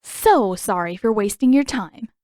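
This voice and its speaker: A woman's voice: mid-range voice, animated, measured pace, a little mellow, no echo, close to an everyday voice. A woman voicing a hot-tempered teenage girl who is defiant and sarcastic, in an audio fan fiction story. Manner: sarcastic, indignant.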